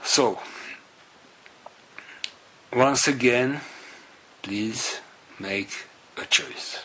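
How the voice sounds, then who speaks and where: Slow, bright-voiced man with non-native English accent. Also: mid-range voice, slight background noise, low-quality recording. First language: not English. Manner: solemn, halting delivery in short phrases. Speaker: spiritual group leader. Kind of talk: spiritual talk to followers.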